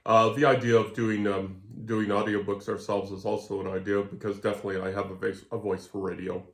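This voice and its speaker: A man co-hosting a podcast in casual chat, talking in a halting, meandering way. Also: on the low side, little pitch movement. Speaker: podcast co-host. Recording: roomy echo.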